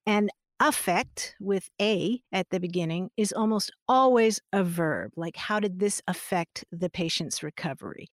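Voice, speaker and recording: A middle-aged woman explaining grammar rules in a relaxed, friendly way, laughing easily as she talks. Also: on the low side, a little slow, few pauses, dry recording, clean studio sound. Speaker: grammar expert guest. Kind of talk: conversational explanation on a podcast.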